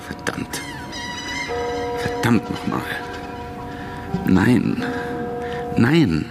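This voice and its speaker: An actor playing a dry, grudging official with terse replies in a German radio play. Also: male voice, fairly low pitch, big pitch swings, extremely slow, gravelly, over music, exaggerated voice. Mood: irritated.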